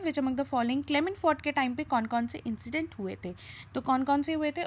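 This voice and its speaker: A woman with an Indian accent teaching online students in a question-and-answer style, often answering her own questions and adding check-in tags. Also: high voice. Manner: asks questions then answers them, with frequent check-in tags. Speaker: teacher presenting an exam question revision class.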